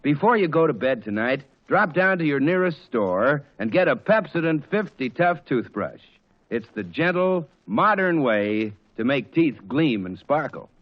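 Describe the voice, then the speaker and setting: A man's voice: a little high, sing-song, measured pace. An American man announcing in the polished sales style of 1940s radio. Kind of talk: 1940s radio advertising and scripted narration. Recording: dull, muffled 1940s radio recording.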